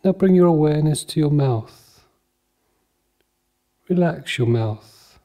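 Slow, mellow man guiding meditation. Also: a little high. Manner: calm, measured, soothing, with long pauses between short phrases. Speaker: meditation guide. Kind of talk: guided mindfulness meditation.

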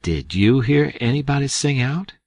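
A man voicing a cocky, scheming teenage boy who asks sharp, mock-innocent questions. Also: medium pitch, animated, normal speed, breathy edge, no room sound, pitched above the performer's own voice. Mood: mock puzzled.

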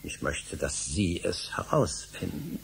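An obsessive, middle-aged male scholar in a radio drama. His low voice swings widely as he presses his point with rhetorical force. There is some noise behind him. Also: very animated, takes it slowly, gravelly, full character voice. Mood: urgent. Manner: rhetorical, emphatic, insistent. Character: obsessive older male scholar, insistent and indignant. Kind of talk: German radio drama acting.

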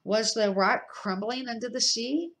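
A middle-aged woman reading a children's novel aloud as an amateur, slightly halting and correcting her words as she goes. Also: on the high side, a bit bright. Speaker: amateur storybook reader.

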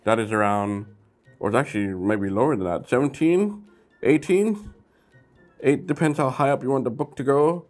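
A man hosting a casual toy review vlog, chatty and easygoing, with sudden bursts of excitement. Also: mid-range voice, moderate pace, soft background music.

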